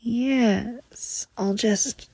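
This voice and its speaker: A woman playing a doting, protective motherly lover, speaking softly in a cooing, often whispered way close to the mic. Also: fairly high pitch, very slow, gravelly, breathy edge, no room sound, full character voice. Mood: soothing, doting. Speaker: audio roleplay performer. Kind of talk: intimate whispered audio roleplay.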